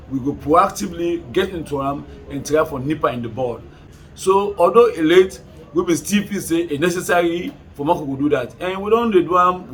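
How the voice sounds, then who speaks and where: Krio-speaking official, 40s, measured answers, voice on the higher side, faint noise. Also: male voice. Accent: Sierra Leonean Krio. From Sierra Leone. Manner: measured, explanatory, with fillers. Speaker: council chairman. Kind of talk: interview answer. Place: office, indoors.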